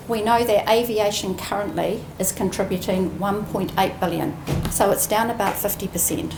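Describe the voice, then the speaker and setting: A woman giving a prepared ceremony speech as an executive, measured and earnest with deliberate emphasis. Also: mid-range voice, vocal fry. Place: indoor ceremony room. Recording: roomy echoing venue, faint background noise.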